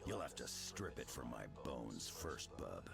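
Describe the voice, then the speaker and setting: A gruff, defiant brawler from a video game. The voice is extremely low and gravelly, terse and sardonic, with music behind it. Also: male voice, very animated, unhurried, full character voice. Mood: defiant threat. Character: gruff, defiant tough male mutant brawler. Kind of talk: video game character voice acting.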